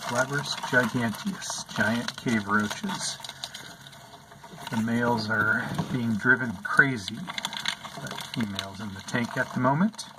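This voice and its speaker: A man calmly narrating over footage of his pet insect tanks, explaining what they do as he films. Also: medium pitch, noisy background. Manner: slow, calm, explanatory. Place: indoors at an insect tank.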